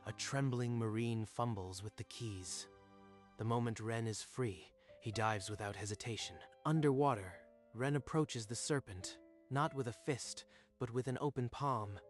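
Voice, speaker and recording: A man's voice: medium pitch, measured pace. Male narrator with dramatic delivery. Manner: dramatic storytelling. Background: faint music.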